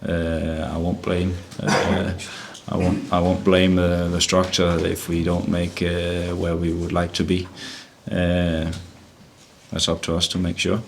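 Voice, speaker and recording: A man's voice: sits low, completely flat delivery, slow, vocal fry, a little hiss or hum. A middle-aged man with a Danish accent answers press conference questions as a football manager, often restarting and repeating words as he searches for the phrase. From Denmark.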